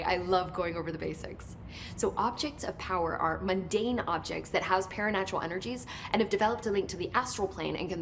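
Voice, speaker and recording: A woman's voice: lowish voice, a little hiss or hum, natural voice. A woman playing an eager, talkative research scientist in a video game, explaining technical details keenly.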